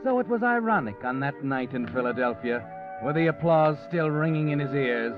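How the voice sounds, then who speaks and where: A man voicing a brooding, all-knowing narrator of an old radio thriller, who talks right to the hero and needles him. His pitch sits a little high and swings widely, with music playing behind him. The sound is dull, like a vintage broadcast. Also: lively intonation, moderate pace, close to an everyday voice. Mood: ominous. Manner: addresses the hero directly, insinuating. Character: the Whistler, brooding omniscient male narrator. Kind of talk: 1940s radio drama narration.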